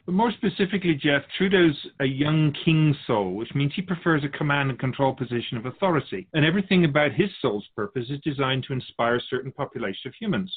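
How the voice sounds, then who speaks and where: A man's voice: medium pitch, expressive, fast, hardly any pauses, slightly rough, phone-quality sound. A man playing an otherworldly spirit guide who is amused by humans and speaks with pedantic sarcasm in a scripted radio conversation. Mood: wry, lecturing.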